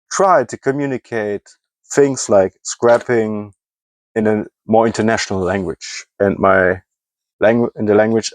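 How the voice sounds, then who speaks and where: A low-voiced man with a German accent talks through a casual hobby vlog in English, his second language. He rambles, hunts for words and corrects himself as he goes. The sound is dry and close, with little room echo. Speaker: scrapping hobbyist.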